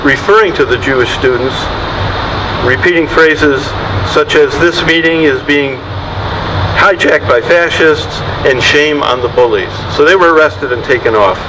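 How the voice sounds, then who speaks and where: A man giving a public address, recounting events as a speaker at a press event. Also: a little slow. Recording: distant mic, loud room rumble.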